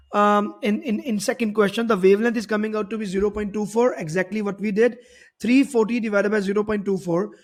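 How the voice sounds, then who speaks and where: Guy tutor w a pretty high voice explaining a physics question step by step, rephrases stuff a lot. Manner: explanatory, repeats and rephrases points. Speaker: physics teacher. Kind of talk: worked-problem tutorial.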